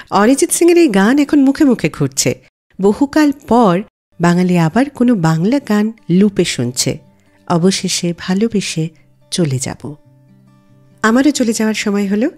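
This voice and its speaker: A woman radio host tells stories in a very animated, dramatic way. Her pitch sits mid-range but swings widely. Soft guitar music plays faintly behind her scripted narration. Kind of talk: scripted radio show storytelling and song introductions.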